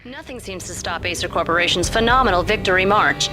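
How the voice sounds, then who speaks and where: An actress playing a brisk TV news reporter in a game, reading stories in broadcast style. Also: female voice, on the low side, over music, natural voice.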